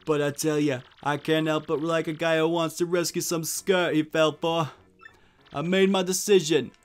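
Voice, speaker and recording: A man voicing a gruff, menacing mob boss in a video game, with tough-guy gangster slang. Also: on the high side, flat delivery, moderate pace, close to an everyday voice. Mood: bossy, bargaining. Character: gruff, menacing male mob boss, big cartoon creature. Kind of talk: video game character dub.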